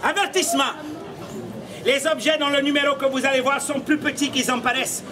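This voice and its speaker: A man's voice: very high voice, steady tone, a little fast. A man, telling comic stories theatrically and mock-seriously as a street puppeteer. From Canada. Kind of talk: comic storytelling to a live audience. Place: outdoor cobbled street by a stone wall. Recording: outdoor live show, noisy crowd.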